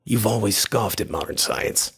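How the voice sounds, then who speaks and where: Man playing skeptical friend and narrator, radio horror drama, low husky voice, dry, measured.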